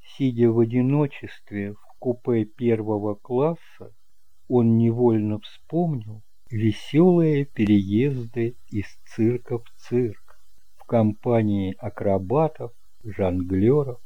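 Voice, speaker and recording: A man narrating romantic fiction as an audiobook, telling the story in a measured, expressive way. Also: medium pitch, no room sound, lo-fi sound.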